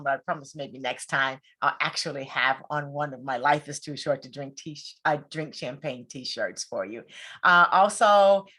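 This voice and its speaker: A woman's voice: sits low, bright, forceful tone. An American woman hosting a podcast in a chatty, informal, conversational way. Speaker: podcast host.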